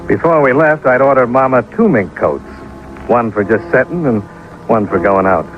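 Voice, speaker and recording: A man's voice: mid-range voice, lively intonation, moderate pace, faint music behind, lightly acted. A male radio actor playing a hard-boiled insurance investigator, narrating his case in a dry, matter-of-fact way. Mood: dry, wry. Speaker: detective narrator. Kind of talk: old-time radio scripted narration. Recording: muffled, noisy old radio recording.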